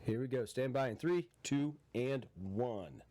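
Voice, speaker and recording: A man's voice: medium pitch, lively intonation, unhurried, dark tone. A male podcast host with a congested head cold, chatting casually and laughing often. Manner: laid-back, laughs often. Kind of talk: casual live podcast chat. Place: cabin.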